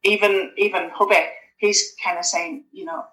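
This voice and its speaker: A woman talking as a podcast guest, chatty and a little hesitant, pausing on fillers and starting her sentences over. Her voice sits a bit low but sounds bright and forceful. The recording echoes around the room. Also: fairly even intonation. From West Yorkshire, England. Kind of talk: casual conversational interview.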